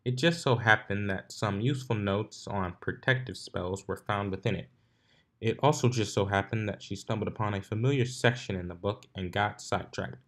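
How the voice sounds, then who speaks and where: A man's voice: lowish voice, moderate pace. A man reading fan fiction aloud as narrator, in an amateur style with occasional stumbles over the words. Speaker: fanfiction narrator.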